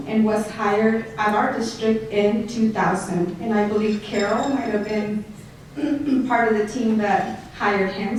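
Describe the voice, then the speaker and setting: A woman's voice: on the high side, slight background noise. A middle-aged woman from Mexico with a Mexican Spanish accent, a school district director giving an award introduction at a banquet. She reads prepared remarks and adds joking asides to the audience. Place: large banquet hall. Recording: echoey hall recorded from far off.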